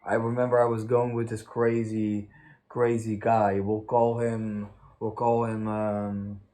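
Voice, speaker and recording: A young man with a fairly low voice tells casual stories. He talks haltingly and rambles, circling around his point as he goes. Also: level tone, warm tone. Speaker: host of a story time channel. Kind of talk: casual chat, anecdote telling.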